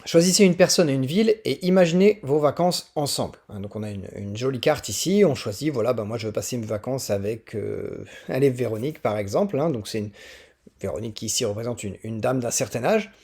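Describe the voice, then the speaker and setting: A man's voice: medium pitch, expressive, a little fast, darkish tone, breathy edge. A man from northern France with a French accent presents teaching resources in an informal, explanatory screen tutorial, often hesitating as he thinks aloud.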